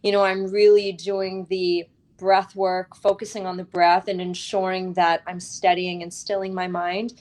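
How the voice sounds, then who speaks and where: A woman's voice: on the low side, level tone, a little slow, darkish tone. A woman speaking as a guest author in a conversational interview, in an earnest, reflective way, often checking in with a short tag question.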